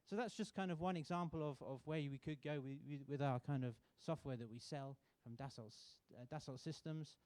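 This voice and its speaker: Man from the UK, sponsor rep at a lectern, soft warm tone, hesitant delivery. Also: fairly high pitch, dry recording. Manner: relaxed, halting with many fillers and restarts. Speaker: sponsor representative. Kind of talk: welcome speech at a contest. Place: university auditorium.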